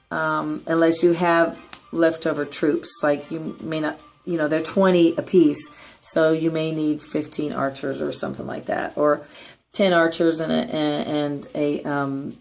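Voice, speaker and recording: A hoarse woman with a raspy voice gives a chatty, upbeat gameplay tutorial voice-over as clan leader. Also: deep voice. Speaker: clan leader giving strategy tips.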